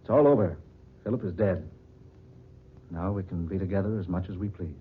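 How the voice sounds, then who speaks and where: An actor playing a suave, scheming, unhinged murderer in an old-time radio drama, theatrical and condescending. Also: male voice, sits low, brisk, slight background noise, close to an everyday voice. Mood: smooth, threatening. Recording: dull lo-fi old radio recording.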